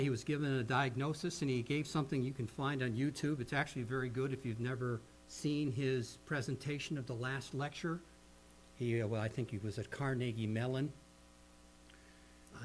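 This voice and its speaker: Middle aged american guy giving a sermon, earnest and deliberate, medium pitch, some hum and noise on the recording. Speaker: pastor. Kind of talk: sermon with slides, measured public speaking. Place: indoor meeting hall at a lectern. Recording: noisy live hall recording with hum.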